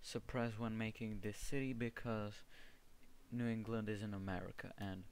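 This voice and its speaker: A man's voice: on the low side, level tone, normal speed, a little mellow, a little hiss or hum. A young man from the Czech Republic with a strong Czech accent, casually commenting on his gameplay and often stopping to search for words. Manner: hesitant, restarts words, searches for terms. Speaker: gaming video creator. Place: home setup with gaming PC.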